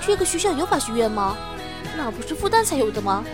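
A woman plays a cheeky, gossipy college student in an audio drama. The voice is very high and bouncy, dramatic and excitable, with music behind it. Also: quick pace. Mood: mock indignation. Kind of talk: Chinese campus radio drama acting.

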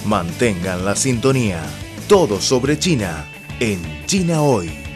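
A man's voice: fairly low pitch, big pitch swings, slow. A man announcing a radio station ident with a punchy promo delivery. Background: plucked guitar music.

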